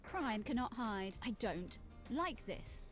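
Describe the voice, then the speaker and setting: A woman's voice: a little high, sing-song, slow pace. A woman playing a cool, cryptic female investigator in short scripted detective game lines. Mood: cryptic, measured. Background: game music.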